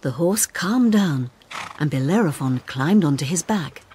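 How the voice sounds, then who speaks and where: A woman narrates a children's storybook with dramatic, deliberate delivery. Her voice sits a little low and moves through a very animated range at a slow pace, made for reading along. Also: slight background noise. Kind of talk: expressive scripted read-along narration for children.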